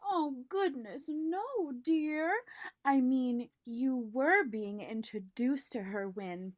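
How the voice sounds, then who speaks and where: Woman acting a high-voiced, sweet secretary fiancée. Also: slow, dry recording. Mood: polite, sweet. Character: prim, sweet female college secretary, eager fiancée. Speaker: radio drama actor. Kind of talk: vintage radio drama acting.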